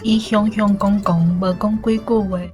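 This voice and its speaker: A woman's voice: mid-range voice, fairly even intonation, normal speed, darkish tone. A young woman with a Taiwanese accent, a student trying out translations. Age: young adult. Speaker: university student contestant. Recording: distant mic with music behind.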